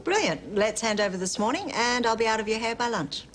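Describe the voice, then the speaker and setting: Cast a brisk, dry-witted manager, mid-range voice, noisy soap set. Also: female voice, a little high, lively intonation, fairly bright tone. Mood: businesslike. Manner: brisk, blunt, dry and sarcastic. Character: blunt no-nonsense woman hospital manager. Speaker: hospital manager. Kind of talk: television soap drama acting.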